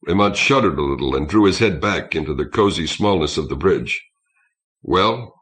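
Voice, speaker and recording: A man's voice: rumbling low, animated, fairly quick, fairly bright tone. A man reading a science fiction novel aloud as scripted audiobook narration.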